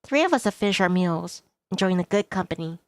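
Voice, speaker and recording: A woman's voice: on the low side, animated, brisk, dry recording. A woman streamer narrating a visual novel playthrough, reading the story and dialogue aloud expressively.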